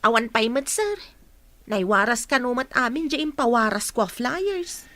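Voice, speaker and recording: An actress playing a chatty, respectful household helper in an audio drama, friendly and deferential in manner. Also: female voice, on the high side, very animated, moderate pace, pushed and bright, no echo.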